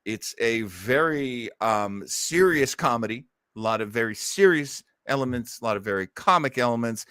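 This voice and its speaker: A man's voice: mid-range voice, lively intonation, normal speed, few pauses, a bit bright, clean tone, no echo, clean studio sound. An American man hosting a casual radio fan show, speaking in a theatrical, effusive and self-mocking manner. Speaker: host. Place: indoors at home.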